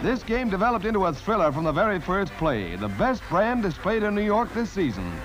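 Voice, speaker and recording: A male American newsreel narrator from the 1940s, delivering scripted copy with theatrical punch. His voice sits high and swings widely in pitch, with music playing clearly behind it. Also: big pitch swings, brisk, rough recording. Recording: vintage 1940s newsreel soundtrack.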